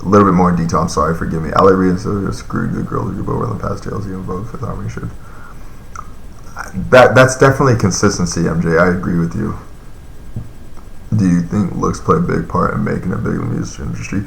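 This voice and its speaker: A man's voice: low voice, animated, fast, vocal fry, echoey room, a little hiss or hum. A man in his thirties chatting casually on a live stream, reading and answering viewer comments in a loose, rambling way with lots of fillers. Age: 30s.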